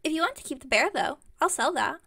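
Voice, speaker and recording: A woman voices a bubbly young witch who runs a magic shop in an ASMR audio roleplay. Her voice is high with plenty of vocal fry. She rambles on eagerly and amiably, speaking straight to the listener as a customer. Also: lively intonation, fast, a bit bright, heavily acted. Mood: friendly, rambling. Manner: chatty, rambling, enthusiastic. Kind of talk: ASMR roleplay, one-sided conversation with listener.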